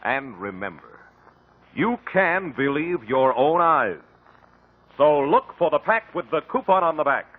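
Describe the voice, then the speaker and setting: A man's voice: fairly high pitch, big pitch swings, unhurried. An American man announcing from a script as the host of a 1950s radio show. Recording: muffled 1950 radio recording with music.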